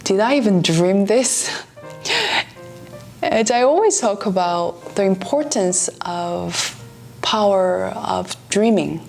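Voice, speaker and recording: A pianist and author with a Korean accent, speaking in an earnest, soft-spoken vlog, some phrases drifting into a whisper. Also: female voice, mid-range voice, slow pace, vocal fry, soft background music. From South Korea. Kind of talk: reflective vlog talk to camera.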